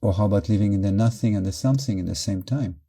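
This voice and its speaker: Very mellow, soft-edged male meditation guide. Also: fairly low pitch. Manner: calm, measured, short phrases with pauses. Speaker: meditation teacher.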